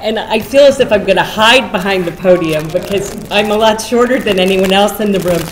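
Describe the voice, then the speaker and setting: A woman's voice: medium pitch, brisk. A middle-aged woman giving informal remarks at a podium, chatty and often breaking off her own sentences. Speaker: nonprofit head and poet speaking at a podium. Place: large meeting hall with seated audience, indoors. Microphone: podium gooseneck microphone. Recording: echoey hall, audience murmur, faint noise.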